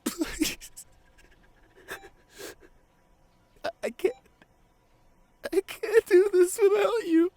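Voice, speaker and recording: Devoted boyfriend, grieving and tearful, played by a man; slow, broken delivery with repeated phrases, intimate audio drama pleading. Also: extremely high pitch, dark tone, exaggerated voice. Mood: desperate, crying. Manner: halting, repeats phrases, voice breaking into sobs. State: crying. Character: grieving devoted boyfriend pleading at comatose partner's hospital bedside. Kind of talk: emotional ASMR audio roleplay.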